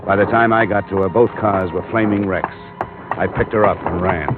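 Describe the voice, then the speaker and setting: A man playing a terse, world-weary detective in a 1950s radio drama, with a clipped, deadpan delivery. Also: low voice, moderate pace, natural voice. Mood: grim, tense. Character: hard-boiled male detective, terse, world-weary, steady. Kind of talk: 1950s radio drama acting, first-person narration. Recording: muffled vintage radio recording, music behind.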